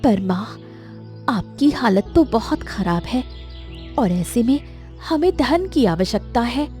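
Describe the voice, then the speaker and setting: A woman's voice: on the high side, expressive, slow, dark tone, pitched above the performer's own voice. A woman voicing a devoted young village daughter in a dramatic story, anxious and questioning. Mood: worried, pleading. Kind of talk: dramatic animated story acting. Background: music.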